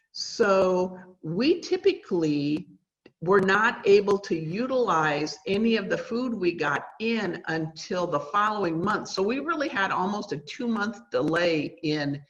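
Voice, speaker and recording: Woman trainer, loud carrying voice, lots of ums, dull roomy recording. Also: on the low side. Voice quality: loud voice that carries. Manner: chatty, uses many fillers. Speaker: school nutrition trainer. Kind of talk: webinar presentation.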